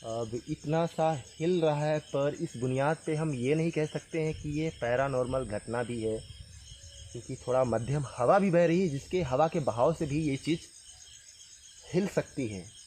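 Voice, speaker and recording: A man from northern India hosting a ghost-hunting vlog, speaking earnestly and haltingly, repeating himself as he addresses spirits and viewers. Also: fairly high pitch, a little mellow. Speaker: paranormal vlog host. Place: outdoors at night by a tree. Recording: noisy outdoor night recording with insect sounds.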